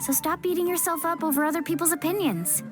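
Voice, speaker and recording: Young warrior woman for a game: casual, supportive, high-pitched, music underneath. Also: steady tone, quick pace. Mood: reassuring. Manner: casual, encouraging, plain-spoken. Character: upbeat, confident, supportive young female warrior. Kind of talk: video game voice acting. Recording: music behind the voice.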